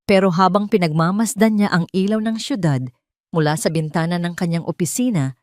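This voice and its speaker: A woman narrating a scripted dramatic story in a measured way. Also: lowish voice, darkish tone, no echo, clean studio sound.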